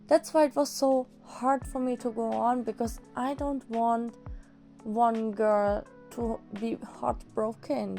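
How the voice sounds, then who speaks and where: A young woman, slightly hoarse after an illness, rambling enthusiastically as she reviews manga in a casual vlog. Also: mid-range voice, dark tone. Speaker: manga vlogger. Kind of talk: casual book review chat.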